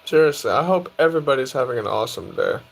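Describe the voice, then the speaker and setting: A man in his early twenties casually chatting on a live stream, reading out and answering viewer questions in a relaxed, easygoing way. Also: mid-range voice, fast talker, creaky. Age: 20s. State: tired. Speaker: livestreamer reading chat.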